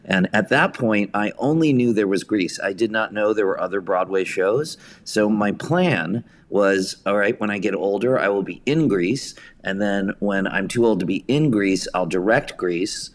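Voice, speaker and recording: American male theater director as interview guest; lowish voice, wry, self-deprecating, conversational storyteller. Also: normal speed, few pauses. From suburban New York. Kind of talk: casual anecdotal storytelling.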